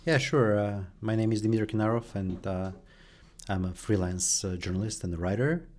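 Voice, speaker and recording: Low-voiced man, hesitant interview answers. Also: normal speed, a little mellow. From Bulgaria. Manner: hesitant, many fillers and restarts. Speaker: guest, journalist and professor.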